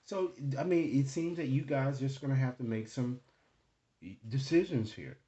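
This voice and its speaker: A man's voice: mid-range voice, moderate pace, warm tone, highs cut off. A man speaking casually as a tarot reader, improvising to the viewer and often checking in with short tag questions. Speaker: tarot card reader, host. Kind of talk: casual, improvised card reading addressed to the viewer.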